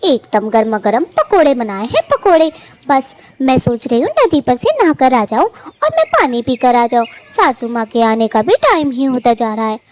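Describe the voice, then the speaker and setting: A woman's voice: high-pitched, big pitch swings, normal speed, a little mellow. Woman voicing a meek pleading young wife. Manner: pleading, eager to please. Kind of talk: Hindi cartoon voice acting.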